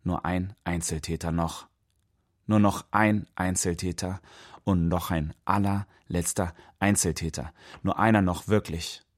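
A man's voice: low voice, steady tone, measured pace. An actor playing a grieving, bitter young man of immigrant family in a radio play. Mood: bitter, insistent. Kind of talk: radio play acting, poetry recital.

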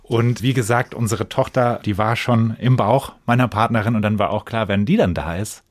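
A man around forty with a deep voice talks in standard German, casual and reflective, as a guest in an interview. The sound is dry and close, like a radio studio. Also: moderate pace, dry recording.

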